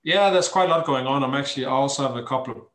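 A middle-aged Danish man with a mid-range voice tells his story as a guest on a video call. He speaks English with a Danish accent, pausing often and picking his way through phrases. The room around his computer mic is noticeably echoey. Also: medium pitch, a bit bright. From Denmark. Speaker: interview guest, charity founder. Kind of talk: conversational interview storytelling. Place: small cluttered room at home, indoors.

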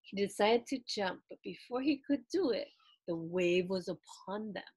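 A woman in her thirties reading a children's story aloud in an expressive, deliberate storytelling style. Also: medium pitch, husky, no echo. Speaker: children's book reader. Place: small indoor room lined with books.

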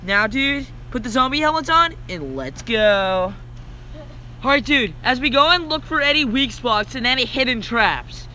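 A man's voice: very high voice, lively intonation, normal speed, sharp tone, slight background noise, heavily acted. A young man playing a casual, exclamatory hero in an amateur Minecraft roleplay movie. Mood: determined. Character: young male hero leading a zombie-fighting mission.

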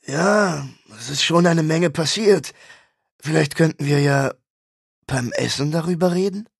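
A man reading a fiction audiobook, voicing an angry, vain male rock star who is loud and swears. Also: on the high side, unhurried, creaky, husky, no echo, clean studio sound, voice pushed up, exaggerated voice. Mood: smarmy. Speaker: audiobook character.

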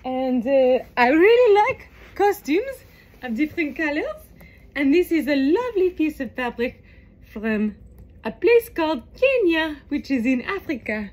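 High-voiced, animated woman. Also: a little slow. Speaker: nurse and children's entertainer presenting herself. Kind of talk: informal video pitch to camera.

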